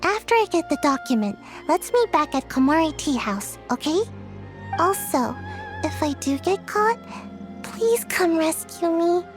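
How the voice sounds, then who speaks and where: A woman voicing a sleepy, deadpan little girl ninja with a drawn-out delivery in a video game. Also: very high-pitched, over music, exaggerated voice. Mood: quietly focused. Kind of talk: video game cutscene voice acting.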